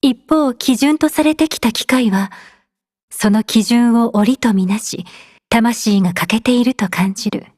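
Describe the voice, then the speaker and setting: Refined, higher-pitched young woman, played by an actress. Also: fairly quick, darkish tone, dry recording, clean studio sound, lightly acted. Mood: composed, instructive. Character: gentle, devoted young woman, refined and caring. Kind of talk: scripted Japanese game character voice lines.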